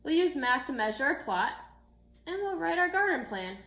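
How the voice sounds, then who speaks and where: A woman puts on an encouraging primary school teacher from a picture book. The voice is a little high, cheerfully announcing and gently instructing, with room echo around it. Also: big pitch swings, moderate pace, full character voice. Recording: echoey room.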